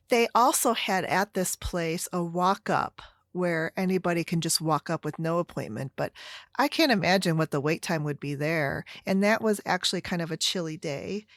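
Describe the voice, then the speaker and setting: A middle-aged woman with an American Midwestern accent chatting casually and haltingly as a solo podcast host, meandering through her stories. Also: lowish voice, dry recording. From Chicago area, United States. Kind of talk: casual solo chit-chat.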